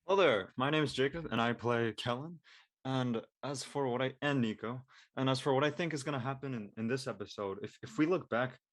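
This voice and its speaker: A man's voice: mid-range voice, a little fast. A man speaking as a cast member in a panel discussion, talking his thoughts through.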